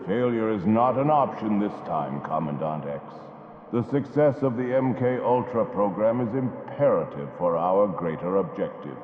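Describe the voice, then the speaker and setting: A man's voice: lowish voice, lively intonation, moderate pace, close to an everyday voice. A man playing a stern, demanding leader of a secret council in a radio drama, echoey and tinny. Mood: imperious. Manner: imperious, demanding. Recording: echoey tinny hall sound.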